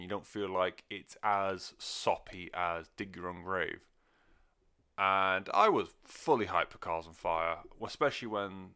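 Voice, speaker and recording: A man's voice: lowish voice, bright, forceful tone, clear, resonant tone. A man talking casually and off the cuff as a music reviewer, rambling, sweary and self-deprecating. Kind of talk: casual unscripted review chat.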